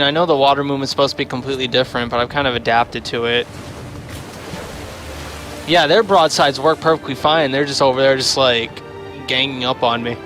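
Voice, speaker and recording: A man giving casual livestream commentary on a game, rambling and thinking aloud as he plays. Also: mid-range voice. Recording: music behind the voice. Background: game music.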